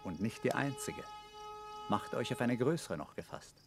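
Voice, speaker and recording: An actor playing an earnest knight in a classical radio drama, counselling urgently with emphatic stage delivery. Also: male voice, mid-range voice, huge pitch swings, normal speed, over music. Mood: gruff, satisfied.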